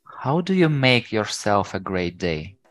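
Soft-spoken host with Ukrainian roots. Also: female voice, a bass voice, sing-song, measured pace. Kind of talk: interview questions.

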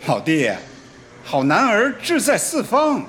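An actor playing a young male student in a radio drama, an exasperated but good-natured son with an expressive, teasing delivery. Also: high-pitched, takes it slowly, full character voice. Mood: teasing, reassuring. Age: young adult. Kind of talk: Chinese radio drama dialogue.

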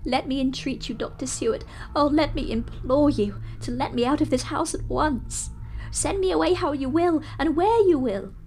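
A woman's voice: mid-range voice, normal speed, darkish tone, lightly acted. A woman voicing a frantic male asylum patient who begs desperately and imploringly, close to tears. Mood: desperate pleading.

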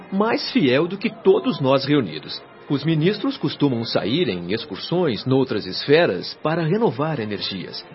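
Solemn, devoted afterlife guide, radio drama, formal teaching tone with wide intonation and faint music. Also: male voice, medium pitch, muffled recording. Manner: formal, didactic, admiring. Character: devoted, solemn male resident helper of a spirit colony. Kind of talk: Brazilian radio soap acting.

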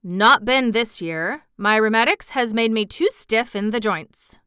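A woman doing a gruff old gardener. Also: on the high side, animated, a little slow, bright, forceful tone, no echo, studio quality. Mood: grumbling. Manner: gruff, grumbling, blunt. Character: grumpy old male Yorkshire gardener.

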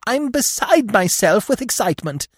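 A man voicing an excitable, self-important male squirrel with a fretful, protesting manner in a storybook. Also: high voice, normal speed, slightly rough, dry recording, clean studio sound, voice pushed up, heavily acted. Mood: agitated. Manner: pettish, protesting, lamenting.

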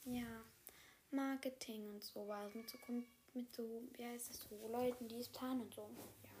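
A woman playing a sick young female apprentice, hoarse and speaking quietly and hesitantly, half in a whisper. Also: a little high, fairly even intonation, moderate pace, a little hiss or hum, lightly acted. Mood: sheepish.

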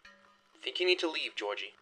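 An actor playing a bitter, hot-tempered 1920s bootlegger in an audio horror drama, sarcastic and accusing. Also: male voice, on the high side, expressive, normal speed, sharp tone, soft background music, exaggerated voice. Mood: cold.

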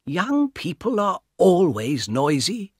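A quiet, mild man of fifty, as the narrator puts him on, musing to himself slowly and softly. His tone is mild and a little fussy. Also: high-pitched, huge pitch swings, breathy edge, no echo, clean studio sound, pitched above the performer's own voice, full character voice. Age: 50s. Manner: slow, mild, inward. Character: quiet, mild middle-aged man thinking.

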